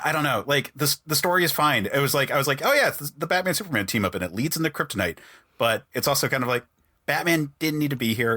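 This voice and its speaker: A man's voice: on the low side, sharp tone, no echo, studio quality. A man hosting a podcast, chatting casually in a rambling way full of filler words. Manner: rambling, peppered with "like". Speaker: podcast host.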